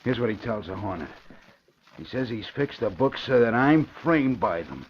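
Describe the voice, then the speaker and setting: An actor playing a vengeful, bullying gang boss in an old radio drama, talking tough, slangy and accusatory. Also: male voice, lowish voice, big pitch swings, normal speed. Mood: coldly furious. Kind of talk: old-time radio gangster acting. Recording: dull, hissy vintage radio recording.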